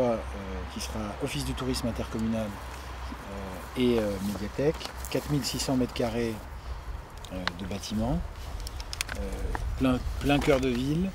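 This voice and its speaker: A man with a mid-pitched voice explains a project to visitors in an informal way. He pauses often to find his words. Urban noise and vehicles are clearly heard around him. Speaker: local official presenting a building project. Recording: noisy outdoor urban ambience, vehicles.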